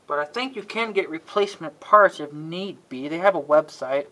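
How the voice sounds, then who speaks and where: A man's voice: a little high, expressive, normal speed, sharp tone. A man hosting a casual, rambling hands-on demonstration, folksy and half muttering to himself. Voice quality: frequent throat clearing. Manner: folksy, muttering to himself, mild exasperated cussing. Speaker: video host showing an antenna assembly. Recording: clearly noisy homemade recording with clicks.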